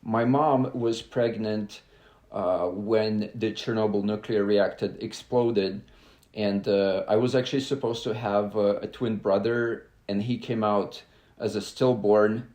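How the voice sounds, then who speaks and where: A man from Belarus speaks in a somewhat low voice, pausing often with "uh" as he tells his story openly. His voice carries a noticeable roomy echo. He sounds like a young adult guest on a casual podcast. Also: fairly even intonation, a little mellow. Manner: halting, many "uh" fillers, earnest and open. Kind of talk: casual interview talk.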